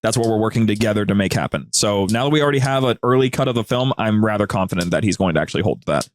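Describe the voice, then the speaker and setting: A man's voice: fairly low pitch, rapid-fire. A man chatting casually as a podcast guest, informal and full of slang. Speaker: podcast guest, audio drama producer. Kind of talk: casual chat.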